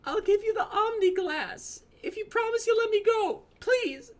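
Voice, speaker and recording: A man acts out a scrawny, terrified fugitive in a live script reading. The voice is extremely high and shrill, whining and pleading for mercy. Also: expressive, unhurried. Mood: panicked pleading. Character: terrified thin sweaty man, whiny fugitive pleading for life. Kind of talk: amateur dramatic script reading.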